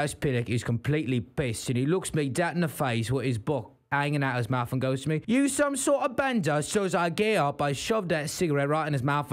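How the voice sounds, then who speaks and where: Cheeky British lad, played by a man: crude pub storyteller, British accent, laddish, boastful comic delivery. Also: on the high side. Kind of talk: comic character anecdote.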